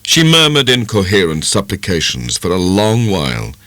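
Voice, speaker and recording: Deep, expressive male narrator. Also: no echo. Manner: expressive, measured storytelling. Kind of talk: dramatic literary audiobook narration.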